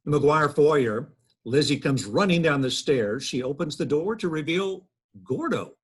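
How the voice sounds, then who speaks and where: A man with a husky voice reading scripted narration of stage directions. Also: a little high, expressive, measured pace. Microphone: video call.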